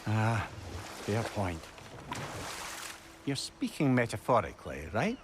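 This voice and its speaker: A man's voice: fairly low pitch, very animated, takes it slowly, background noise. A man playing a witty, talkative older sage companion in a video game, with a Scottish accent and an articulate, wry manner. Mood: wry, amused. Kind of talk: dramatic video game acting.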